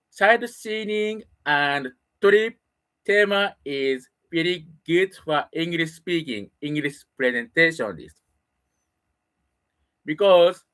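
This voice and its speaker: A middle-aged man with a Japanese accent talks slowly in careful, halting English, his native language being Japanese. His pitch rises and falls a lot between phrases, and he often stops to find a word before going on. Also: sharp tone. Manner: halting non-native English with pauses and restarts. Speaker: solo broadcaster practicing English. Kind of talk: casual spoken monologue.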